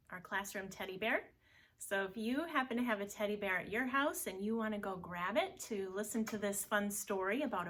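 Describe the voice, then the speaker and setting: A woman's voice: medium pitch, fairly bright tone. A woman preschool teacher reading a picture book aloud expressively, speaking directly to small children.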